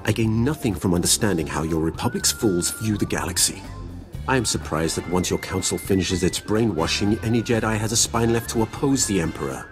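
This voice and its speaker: An actor playing an ancient, proud Sith lord in a video game, speaking in a formal, solemn and disdainful manner. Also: male voice, fairly low pitch, brisk, soft background music, lightly acted. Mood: scornful. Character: ancient, grim, proud Sith lord, three centuries old. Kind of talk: dramatic video game voice acting.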